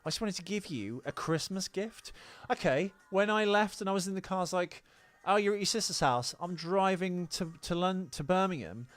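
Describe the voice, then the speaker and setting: A man's voice: high-pitched, animated, normal speed, hardly any pauses, dry recording. A British man co-hosting a podcast in casual personal chat, talking bluntly and swearing freely. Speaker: podcast co-host.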